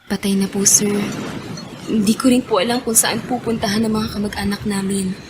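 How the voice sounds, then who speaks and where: A woman's voice: mid-range voice, fairly even intonation, a little fast, close to an everyday voice. A woman playing a timid, polite young runaway worker in a radio drama, hesitant and pleading in her delivery. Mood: humble, sorrowful. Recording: clearly noisy radio drama track with ambience.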